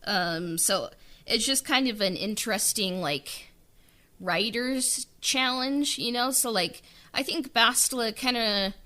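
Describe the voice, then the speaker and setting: A woman's voice: fairly high pitch, slow, pushed and bright. A woman who wrote and produced an audio drama, talking casually and rambling with many filler words. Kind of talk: casual interview chat.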